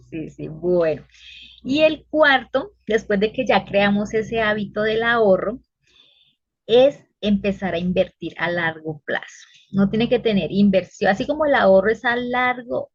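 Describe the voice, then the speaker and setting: A woman podcast guest working as a financial advisor, explaining retirement saving and investing in a measured, patient way. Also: mid-range voice, slightly rough, dry recording, dull audio. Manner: explanatory, measured advice-giving. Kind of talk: casual podcast interview.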